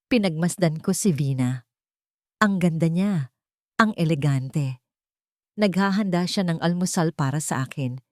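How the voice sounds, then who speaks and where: A woman narrates a dramatic story in a lowish voice. Her pitch moves widely as she reads, and the sound is close and dry. The delivery is scripted and expressive. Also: dry recording.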